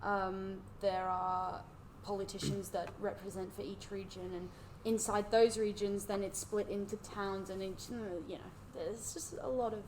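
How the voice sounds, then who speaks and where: A woman's voice: medium pitch, little pitch movement, measured pace, few pauses, a little mellow, a little hiss or hum, poor audio. Chatty young woman from Switzerland. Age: young adult. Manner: explains at length, hedging with fillers. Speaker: guest, international student. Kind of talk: casual podcast chat.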